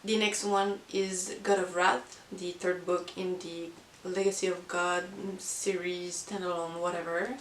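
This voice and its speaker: A woman who is not a native English speaker, chatting casually about books as a vlogger in a halting, hesitant way. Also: fairly low pitch, level tone, a bit bright. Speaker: book vlogger. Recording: roomy, distant mic with hiss.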